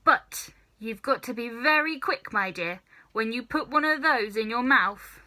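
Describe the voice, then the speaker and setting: A woman's voice: fairly high pitch, expressive, unhurried, pushed and bright. A woman voicing a nasty, bossy old grandmother from a children's storybook, snapping commands and savouring key words. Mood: sinister, menacing. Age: older. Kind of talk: children's storybook character reading.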